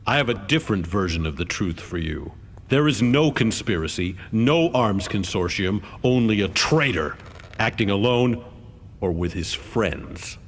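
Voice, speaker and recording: An actor playing a stern, coolly formal government investigator in a video game, speaking in a deliberate, accusing way. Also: male voice, fairly low pitch, expressive. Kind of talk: video game cutscene acting. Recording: long hall reverb.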